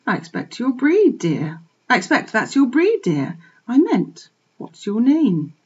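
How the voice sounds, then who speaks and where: A woman's voice: on the high side, big pitch swings, brisk, breathy edge, lo-fi sound, pitched above the performer's own voice. A woman playing a kind, motherly collie dog. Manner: gentle, soothing, calls the piglet dear. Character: motherly female collie, kind but firm.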